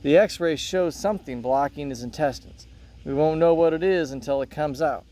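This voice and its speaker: A man reading a play aloud as a serious, caring veterinarian, explaining in a measured way. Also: fairly high pitch, warm tone, close to an everyday voice. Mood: grave. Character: Doctor Hayes, serious caring turtle veterinarian.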